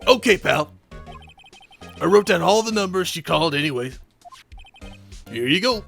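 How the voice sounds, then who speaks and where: A man voicing a gruff, blustery but sentimental police detective in a video game, with folksy tough-guy talk. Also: on the high side, expressive, normal speed, a bit bright, faint music behind. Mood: matter-of-fact. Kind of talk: improvised video game character voice.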